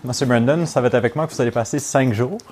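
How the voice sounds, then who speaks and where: Man, young adult, Québécois French accent, English first language, trainer addressing a class, chatty and informal, middling pitch. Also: noisy background. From Montreal, Quebec, Canada. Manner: chatty and informal, with quick laughs and "ok?" checks. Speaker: neurofeedback trainer. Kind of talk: opening a training course.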